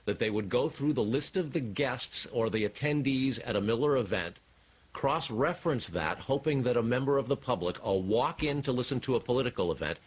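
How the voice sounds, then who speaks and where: A man with an American accent reporting live as a television news correspondent, deliberate and emphatic. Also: lowish voice, rough recording.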